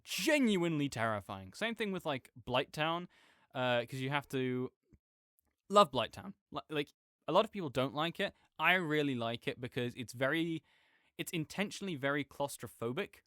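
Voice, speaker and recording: A man's voice: on the high side, very animated, normal speed, pushed and bright, dry recording, clean studio sound. A man playing a chatty, nerdy roommate who rambles enthusiastically about a video game in a casual, digressive audio roleplay monologue. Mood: enthusiastic, opinionated.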